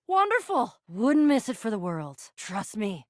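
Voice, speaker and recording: A plucky game adventurer, high-voiced and swooping all over the scale. The delivery is earnest and excitable, grand heroic declarations tangled up with slightly insecure, stumbling asides. The sound is dry and close. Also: female voice, measured pace, dry recording. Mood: determined, hopeful. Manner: dramatic quest-speak mixed with stammering asides. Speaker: video game voice actor. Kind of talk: animated video game character acting.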